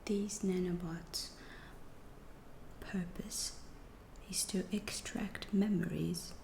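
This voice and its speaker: A woman playing a calm, curious female alien who studies humans, whispering slowly and deliberately in an ASMR roleplay. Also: lowish voice, gravelly, roomy sound, slight background noise. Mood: calm, clinical. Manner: hushed, slow, deliberate, clinical phrasing.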